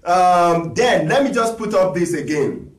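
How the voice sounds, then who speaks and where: A man's voice: high-pitched, animated, measured pace, recorded from a distance. A man, teaching talking drum on a live stream in a chatty, encouraging way. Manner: chatty, encouraging, reads viewer requests aloud. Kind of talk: live-streamed music lesson. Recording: echoey room, voice from off the mic.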